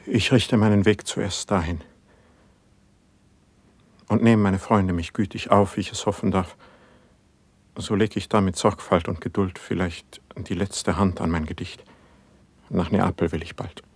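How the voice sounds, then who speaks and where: A brooding poet in a classical verse radio play. His voice is low and husky, recorded close and dry. He declaims with fervour, restless and melancholy, as if driven by his work. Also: fairly even intonation, unhurried, long pauses, dry recording, exaggerated voice. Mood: subdued. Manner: declaimed, fervent stage diction.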